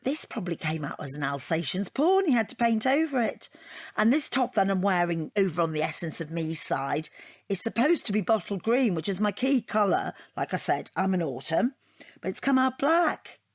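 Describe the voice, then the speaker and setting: A wounded wife in an audio drama. Her talk is wry and deadpan, wandering off on tangents as she picks over her grievance. Her voice sits at an ordinary female pitch. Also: moderate pace. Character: wounded, rambling wife dissecting her unwanted portrait gift. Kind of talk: audio drama monologue and dialogue.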